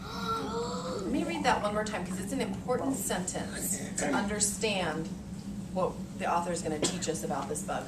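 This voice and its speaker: An American woman in her thirties, an elementary teacher reading aloud to young children in a deliberate, expressive way, thinking aloud in simple words. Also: medium pitch. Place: elementary classroom, indoors. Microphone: far camera. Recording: noisy echoey classroom, distant camera mic.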